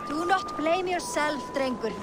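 Young female Viking, game drama, high pitch, earnest, direct, outdoor noise. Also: unhurried. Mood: concerned. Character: earnest, alert Viking warrior woman. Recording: clear outdoor ambient noise.

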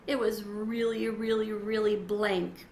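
A middle-aged woman giving an expressive, dramatized read-aloud of a children's story. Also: mid-range voice, slow. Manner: animated, dramatizing. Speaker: storybook reader. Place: small sunroom with windows, indoors. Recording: roomy echo, faint background noise.

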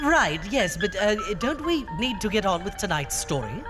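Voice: medium pitch, very animated, normal speed, close to an everyday voice. Undead horror-podcast host, played by an actor: macabre, grandiose, swooping delivery, cavernous reverb with music. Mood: flustered. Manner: grandiose, alliterative showman. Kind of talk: theatrical horror anthology hosting. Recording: long reverb, music underneath.

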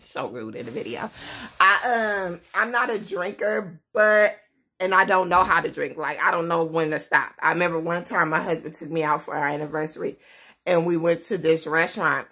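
Woman in her 30s, husky bright voice, rambly chatty vlog at home. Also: fairly low pitch, normal speed. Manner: chatty, rambling, laughs often. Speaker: vlogger, mother of many. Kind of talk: casual chat to camera. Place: small indoor room at home.

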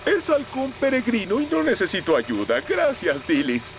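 A man's voice: sits high, huge pitch swings, normal speed. A man voicing a proud, stubborn older bus driver in a dubbed children's cartoon, with a know-it-all, defensive manner. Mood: self-assured. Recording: music behind the voice.

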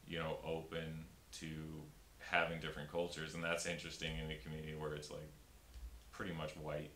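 Young man, very deep voice, flat tone, bright edge, roomy room, faint hiss. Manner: rambling, many fillers and restarts. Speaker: stand-up comedian guest. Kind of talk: casual interview talk. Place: small room before a pink backdrop. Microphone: fixed camera, upper-body framing.

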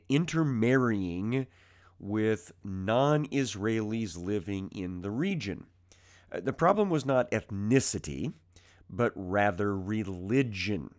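A man with a strained, raspy voice while ill, teaching the Bible verse by verse from his basement, calm and conversational with frequent fillers. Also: fairly low pitch. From United States. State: ill with mild symptoms. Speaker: preaching minister. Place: home basement.

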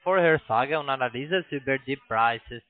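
A man with a foreign accent, not a native speaker, making a halting sales pitch over the phone in broken sentences with repeated restarts. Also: no room sound. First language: not German. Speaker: phone sales agent, investment scam caller. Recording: tinny narrow phone line.

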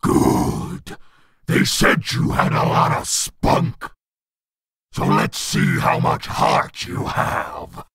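A man voicing a gloating, bloodthirsty demon in a comic dub, with a rasping, breathy growl and a sneering, boastful manner. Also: very slow, fairly bright tone. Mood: smug. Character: gloating, bloodthirsty demon monster serving his master.

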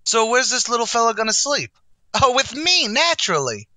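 A man's voice: very high-pitched, sing-song, normal speed, sharp tone, no room sound, exaggerated voice. An actor playing a brash, boastful male tavern manager, in a wisecracking 1940s radio comedy style. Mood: excited.

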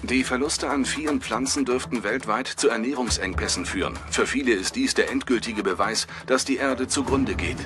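A man's voice: mid-range voice, moderate pace, few pauses. A man reading a scripted documentary voice-over. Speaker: documentary narrator. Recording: voice under loud music. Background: dramatic music.

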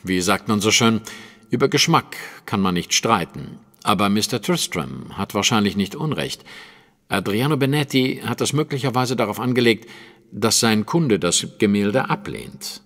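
An actor playing a shrewd, self-assured detective who explains things precisely in a German audio drama. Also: male voice, lowish voice, animated, moderate pace, a bit bright, long reverb tail. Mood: insistent, reasoning. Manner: precise, lecturing, deductive.

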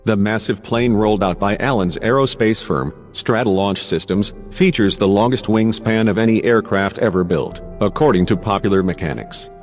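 A man's voice: on the low side, normal speed, hardly any pauses. A man reading scripted news narration as a narrator. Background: music.

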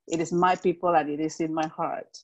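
A woman's voice: low-pitched, flat delivery, a little fast. Bubbly Mexican-accented woman. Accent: Mexican Spanish. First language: Spanish. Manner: enthusiastic, laughs often. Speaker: direct sales executive. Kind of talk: video call interview. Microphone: earphone mic on video call.

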